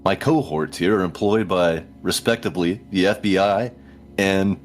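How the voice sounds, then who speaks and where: A man playing an unflappable, courteous investigator in a tabletop game, speaking in a measured, formal, dryly condescending way. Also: low-pitched, soft background music, close to an everyday voice.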